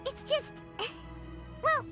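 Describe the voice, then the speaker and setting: A woman voicing a cheeky, childlike little fairy companion in a game, perky and squeaky. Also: drawn-out words, bright, forceful tone, faint music behind. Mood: puzzled, teasing. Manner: squeaky, perky, talks of self in third person.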